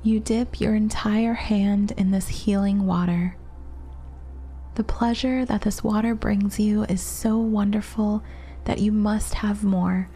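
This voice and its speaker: A woman guides a relaxation meditation with a mellow, breathy voice. She speaks gently and soothingly, leaving long pauses between phrases. Music plays behind her. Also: mid-range voice. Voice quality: soft, breathy.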